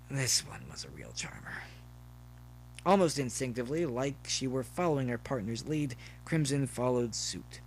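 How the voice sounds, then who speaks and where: A man's voice: medium pitch, a little hiss or hum, rough recording. A man reading scripted story narration as an audiobook narrator.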